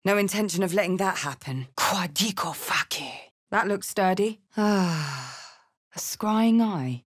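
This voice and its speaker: A battle-scarred warrior woman from a fantasy game, with a very mobile pitch and an unhurried pace. She is blunt and defiant, with a wry edge. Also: expressive. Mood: wary, blunt. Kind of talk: fantasy video game voice lines.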